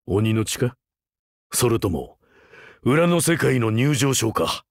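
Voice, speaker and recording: A male actor playing a rugged older vampire hunter turned teacher in a game, wry, laconic and gruffly fatherly. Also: medium pitch, sing-song, takes it slowly, no room sound, studio quality, exaggerated voice. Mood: wry, evasive. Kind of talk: Japanese mobile game character voice lines.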